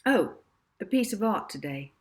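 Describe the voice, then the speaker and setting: A woman author reading her children's book aloud as an expressive, measured and emphatic storyteller. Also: mid-range voice. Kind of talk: expressive children's audiobook narration.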